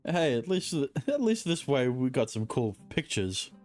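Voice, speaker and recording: A woman's voice: very deep voice, sing-song, unhurried, a little mellow. A woman streamer giving casual game commentary with dry, sardonic asides. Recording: faint game music behind.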